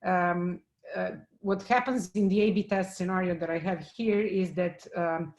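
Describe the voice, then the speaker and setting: A woman from Serbia giving a technical conference talk and answering questions, halting often with fillers and restarts. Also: on the low side, fairly even intonation, a little mellow. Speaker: conference speaker.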